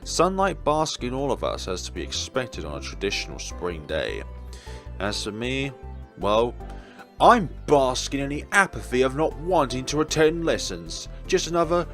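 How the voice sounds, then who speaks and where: A man reading a visual novel story aloud in an expressive, dramatic way, as a let's player. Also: mid-range voice, moderate pace. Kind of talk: expressive read-aloud narration of game text. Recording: music playing behind the voice. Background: game background music.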